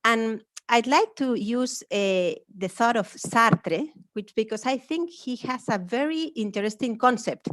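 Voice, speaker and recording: A woman's voice: a little high, unhurried, few pauses, fairly bright tone, no echo. A woman academic with a Spanish accent giving a conference presentation, explaining her points through questions. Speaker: academic speaker.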